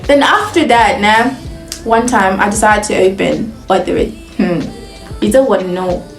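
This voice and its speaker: A woman's voice: medium pitch, moderate pace, roomy sound, faint music behind. A young woman from Nigeria with a Nigerian accent, telling a casual, chatty story as a vlogger, laughing often and filling with "like". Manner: chatty, animated, laughs often, heavy use of "like".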